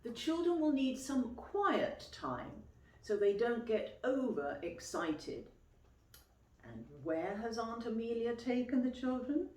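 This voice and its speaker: A woman reading a picture book aloud to young children as a teacher would, expressively and clearly phrased, pausing to explain and ask questions. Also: on the high side, darkish tone. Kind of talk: children's storytime read-aloud with questions to listeners. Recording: roomy, echoey, distant microphone.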